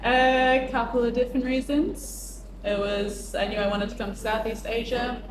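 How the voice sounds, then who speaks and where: A woman's voice: a little high, level tone, normal speed. Woman from New Zealand, echoey street. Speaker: primary school teacher. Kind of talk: casual interview. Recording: echoey with loud street noise.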